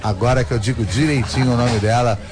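A man's voice: medium pitch, fairly even intonation, quick pace, music in the background. A man hosting a book fair interview, talking effusively and digressively, with many restarts. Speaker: host.

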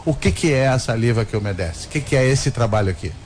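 A man's voice: mid-range voice, lively intonation, quick pace, darkish tone, slight background noise. A man hosting a book fair interview, talking effusively and digressively, with many restarts. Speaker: host.

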